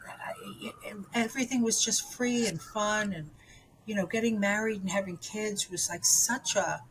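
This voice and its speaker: A woman's voice: medium pitch, moderate pace, a little hiss or hum, rough recording. A woman in her seventies, from New York, telling her own story as an interview guest in a candid, rambling way with lots of fillers and restarts. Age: 70s.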